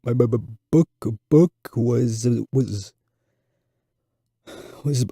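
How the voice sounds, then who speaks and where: A man's voice: mid-range voice, drawn-out words, vocal fry, dark tone, slightly rough, dry recording, studio quality, heavily acted. A man voicing a nervous boy who stutters heavily. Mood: anxious, struggling. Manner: heavy stutter, repeating sounds and words, long blocks. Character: nervous fourth-grade boy with a severe stutter.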